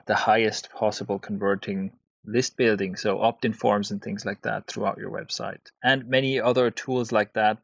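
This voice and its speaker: A man's voice: fairly low pitch, vocal fry, no echo, dull audio. A man from Switzerland, a guest entrepreneur, explaining his business in casual interview answers, plain-spoken and tagging points with "right?".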